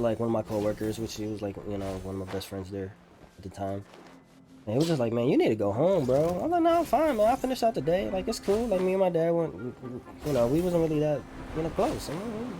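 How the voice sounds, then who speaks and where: A young man talking casually over gameplay as a streamer, candid and slangy, in a halting way. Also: medium pitch, warm tone. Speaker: gaming streamer.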